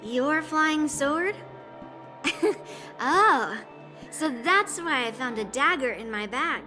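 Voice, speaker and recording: A woman's voice: sits high, expressive, takes it slowly, pushed and bright, faint music behind, full character voice. A woman playing a cocky young swordswoman who teases and laughs often, in a game cutscene. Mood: teasing. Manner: teasing, laughs often, smug. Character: cocky young female swordswoman, teasing and bold.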